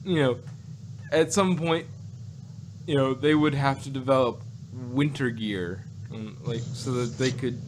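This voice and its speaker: A man's voice: medium pitch, a little slow. Male co-host, casual review chat, wry and hesitant, leisurely pace, noisy recording. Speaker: podcast co-host. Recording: clear background noise.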